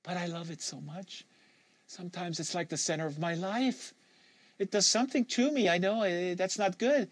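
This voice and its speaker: A man voicing a depressed, anxious young woman confessing haltingly, as an audiobook character. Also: high-pitched, no room sound, voice pushed up. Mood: anxious confession. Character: depressed anxious young woman, pot-addicted psychiatric patient.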